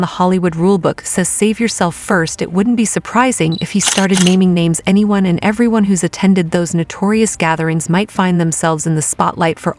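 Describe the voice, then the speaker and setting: A woman delivering scripted gossip narration in a dramatic, conversational way. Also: fairly low pitch.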